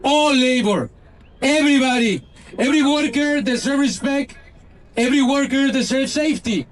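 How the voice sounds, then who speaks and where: A man's voice: very high voice, moderate pace, poor audio. A man giving an impassioned rally speech outdoors, building rhetorical lists and slogans. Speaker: rally speaker. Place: outdoor rally in a city square.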